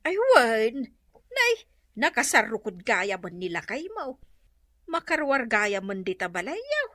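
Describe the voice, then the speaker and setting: Actress as a lively, nosy gossiping neighbour woman. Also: on the high side, slow pace, no room sound, full character voice. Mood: curious, amazed. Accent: Ilocano. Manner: inquisitive, exclamatory. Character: curious gossiping woman neighbour, easily amazed. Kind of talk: Ilocano comedy radio drama.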